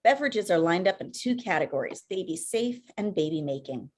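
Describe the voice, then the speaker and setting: Lowish-pitched woman reading stage directions. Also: expressive, brisk, darkish tone. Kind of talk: scripted narration at a table read. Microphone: video call.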